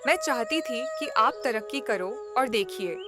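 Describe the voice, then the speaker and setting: A woman's voice: on the high side, lively intonation, measured pace, music in the background. A woman playing a young village wife, nagging but caring, in a cartoon moral story, with emphatic delivery. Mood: reassuring.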